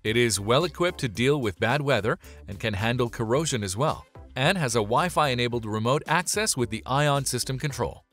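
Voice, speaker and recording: A man's voice: mid-range voice, expressive, moderate pace, hardly any pauses, a bit bright. A man reading a scripted product review as voice-over, upbeat and emphatic on transitions. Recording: voice-over with faint background music.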